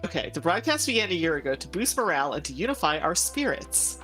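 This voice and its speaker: A woman voicing a politely composed male ship attendant with formal, measured, courteous speech in a visual novel game. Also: fairly low pitch, big pitch swings, a bit bright, soft background music, low-quality recording.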